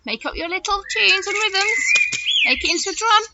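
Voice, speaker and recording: Female children's presenter, high swooping voice, chatty and questioning, for simple activities with little ones. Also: normal speed. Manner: animated, questioning, exaggerated gasps and wonder for children. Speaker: children's teacher hosting story follow-up activities. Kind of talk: chatty talk addressed to young children. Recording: dull audio with loud noise and clicks.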